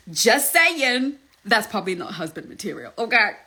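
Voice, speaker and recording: Zimbabwean woman, relationship coach, emphatic and lively, keeps checking in with listeners. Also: on the high side. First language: Shona. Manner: emphatic, lively, laughs often, repeats "okay?" and "do you understand?". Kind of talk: casual advice talk.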